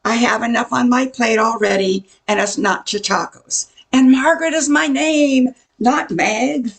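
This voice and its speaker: Older woman playing a brassy, slow-talking diner waitress. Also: on the high side, creaky. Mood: indignant, scolding. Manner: sassy, blunt, indignant. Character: brassy, short-tempered roadside diner waitress Margaret. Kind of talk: amateur radio play acting.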